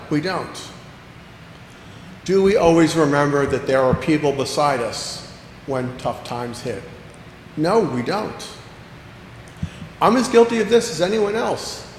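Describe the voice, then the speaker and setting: A man preaching a sermon as pastor, earnest, slowing down to stress key phrases and repeating lines for emphasis. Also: fairly high pitch, lively intonation. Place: church sanctuary. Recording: echoey church hall with long reverb, faint background noise.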